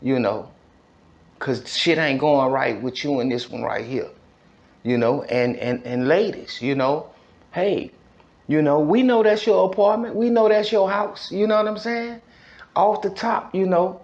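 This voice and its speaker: A man's voice: a little high, measured pace, darkish tone, muffled recording. An American man telling a personal story casually, in an emphatic, repetitive way full of street slang and check-in phrases. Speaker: podcast storyteller.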